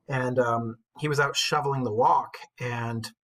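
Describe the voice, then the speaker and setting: A man's voice: mid-range voice, fairly even intonation, unhurried, a bit bright. A man speaking as an English teacher in an informal recorded lesson, conversational and slangy, explaining things through commentary and anecdote. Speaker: high school English teacher.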